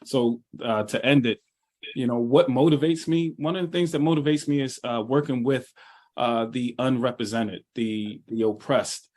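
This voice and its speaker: A man's voice: mid-range voice, moderate pace, darkish tone. A man from Philadelphia introducing himself on a webinar panel, earnest and stacking phrases in lists. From Philadelphia, USA. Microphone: video call.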